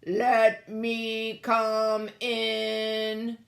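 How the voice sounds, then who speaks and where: A woman voicing a big bad male robot in a children's storybook, with slow, drawn-out threats. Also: on the high side, monotone, vocal fry, exaggerated voice. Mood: menacing, coaxing. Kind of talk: children's storybook read-aloud. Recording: echoey room.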